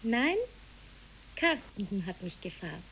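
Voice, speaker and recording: A woman's voice: medium pitch, sing-song, unhurried, fairly bright tone, a little hiss or hum, low-quality recording. A woman playing an imaginative, matter-of-fact child in a poetic radio play, earnest and plain-spoken.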